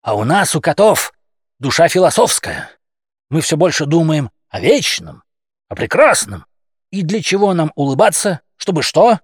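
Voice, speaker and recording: A man's voice: a little high, very animated, moderate pace, breathy edge, dry recording, studio quality, pitched above the performer's own voice. A man voicing a pompous cat leader in an audiobook fairy tale, a bombastic demagogue who speaks with heavy emphasis. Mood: preaching, emphatic. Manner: emphatic, pompous, repeats phrases with pressure. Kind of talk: audiobook character acting, satirical fairy tale.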